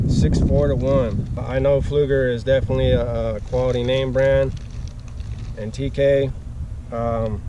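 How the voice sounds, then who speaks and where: A man in his forties unboxing fishing gear outdoors beside a boat, talking in a laid-back, enthusiastic way with plenty of fillers. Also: mid-range voice. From Arizona, USA. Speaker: fishing vlogger. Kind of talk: casual unboxing vlog. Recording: outdoor camera audio with background noise.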